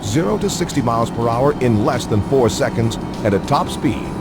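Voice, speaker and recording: A man's voice: mid-range voice, animated, normal speed. A man reads scripted promotional narration for a racing game in a polished announcer style. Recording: music behind the voice. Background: background music.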